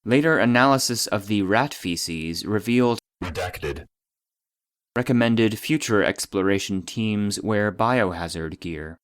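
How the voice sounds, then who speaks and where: An actor voicing an impersonal database that reads out classified reports in a measured, official, detached manner. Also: male voice, on the low side, close to an everyday voice. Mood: clinical, detached. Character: formal, impersonal male database voice reading classified logs. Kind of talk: scripted narration of clinical reports.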